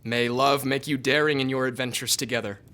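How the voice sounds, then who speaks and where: An actor playing a cheeky, grandiose young aristocrat in an audio drama, speaking in a wry, declamatory way. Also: male voice, medium pitch, fairly even intonation, brisk, pushed and bright, smooth, natural voice. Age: young adult.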